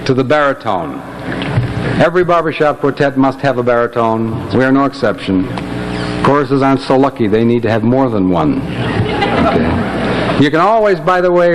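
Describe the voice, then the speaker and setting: An American man emceeing a barbershop quartet show, delivering teasing comic patter with drawn-out setups and pauses. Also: medium pitch. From Illinois, United States. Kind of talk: comic stage patter between songs. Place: live stage show before a large audience. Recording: noisy live stage recording with loud crowd. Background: loud audience noise.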